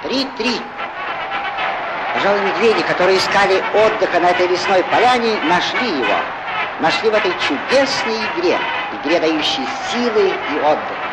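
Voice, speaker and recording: A high-voiced, excitable male football commentator from a 1940s cartoon. His theatrical play-by-play swings widely in pitch, chatty and good-humoured, over a clearly noisy vintage film soundtrack. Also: normal speed, over a noisy crowd. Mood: warm, conclusive. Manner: chatty, theatrical, exclaiming, correcting himself. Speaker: sports commentator.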